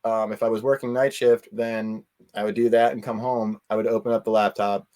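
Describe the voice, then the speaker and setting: A man talking casually as an interview guest, telling things in a rambling, animated way with quoted asides. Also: lowish voice, fairly quick.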